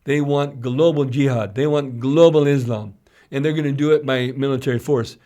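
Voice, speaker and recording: A man's voice: mid-range voice, fairly even intonation, moderate pace, dark tone. A man co-hosting a podcast, giving conversational current-affairs commentary in an explanatory way, repeating phrases for emphasis. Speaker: podcast co-host.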